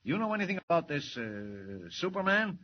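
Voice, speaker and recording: Blustery, animated newspaper editor. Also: male voice, a little high. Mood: hearty, bossy. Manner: brisk, blustering, bossy. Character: blustery, hearty male newspaper editor boss. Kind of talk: 1940s radio acting. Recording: muffled noisy old radio recording.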